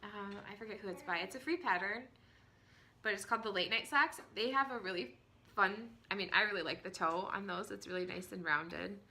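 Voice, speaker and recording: A woman in her thirties hosting a knitting podcast from home, chatting casually with many ums and small laughs, her voice hoarse from a cold. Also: mid-range voice, a little fast, bright, forceful tone. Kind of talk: casual chat to camera. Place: at home, indoors.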